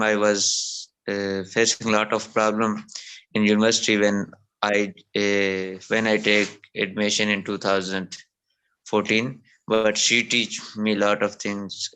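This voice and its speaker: A man, an Urdu speaker, answers slowly in a low, flat tone. His voice is smooth and clear, but he searches for words, hesitating and restarting often on a video call. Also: rough recording. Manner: hesitant, many fillers and restarts. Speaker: show participant. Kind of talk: halting conversation in a second language.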